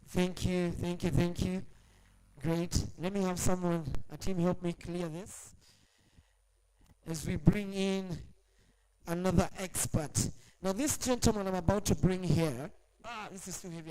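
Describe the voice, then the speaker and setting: A man's voice: high-pitched, fairly even intonation, normal speed, dark tone, slight background noise, rough recording. A man emceeing a conference panel, upbeat and jokey, calling for applause. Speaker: event emcee. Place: large exhibition hall.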